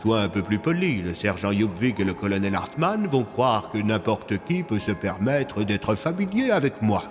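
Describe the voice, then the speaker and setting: A man voicing a calm, mysterious, mildly haughty all-knowing being in an audio story. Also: on the low side, normal speed, in a large hall, music in the background. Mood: calm, measured.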